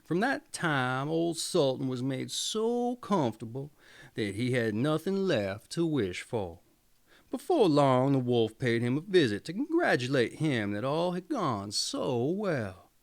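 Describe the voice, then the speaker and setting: A man reading a fairy tale aloud as a storyteller, expressive and theatrical in his delivery. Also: medium pitch, a little slow, creaky.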